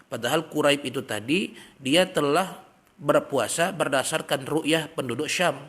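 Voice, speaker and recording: A man teaching a religious study lesson, reading passages in Arabic and then explaining them in a relaxed, chatty way with everyday examples. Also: a little high, measured pace, breathy edge. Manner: reads Arabic text, then explains in plain talk. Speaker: Islamic teacher.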